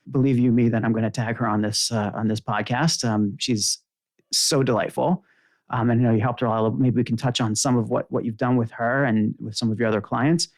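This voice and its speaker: Man hosting a podcast. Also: medium pitch. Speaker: podcast host. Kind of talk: casual conversational podcast hosting.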